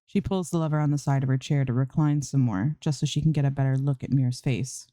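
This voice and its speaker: A woman's voice: rumbling low, quick pace, warm tone, no room sound, studio quality. A woman reading fan fiction aloud as an amateur narrator, in scripted storytelling style.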